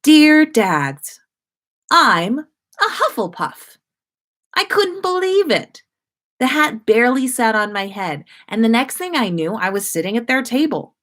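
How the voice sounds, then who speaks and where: A woman's voice: fairly high pitch, sing-song, measured pace, fairly bright tone, voice pushed up. A woman playing an eager young schoolgirl reading out her chatty letter home in a storybook reading.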